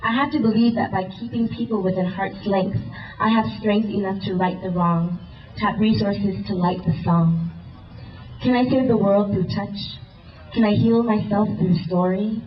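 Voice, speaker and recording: A woman's voice: mid-range voice, steady tone, normal speed, muffled recording. Young woman, spoken word poet, earnest rhythmic delivery, mid-range voice, distant and roomy live hall recording. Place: indoor event hall.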